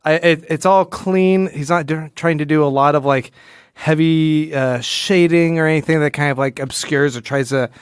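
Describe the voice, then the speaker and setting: A man's voice: fairly high pitch, fairly even intonation, moderate pace, smooth, dry recording, studio quality. A man co-hosting a podcast, talking casually and analytically, often rephrasing himself as he explains. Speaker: podcast co-host.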